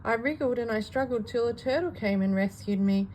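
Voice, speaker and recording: A woman's voice: fairly high pitch, a little fast, a little mellow, slight background noise, lightly acted, poor audio. A woman voicing a small, earnest boy fish who spins tall tales in an eager storybook read-aloud. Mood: apologetic, eager.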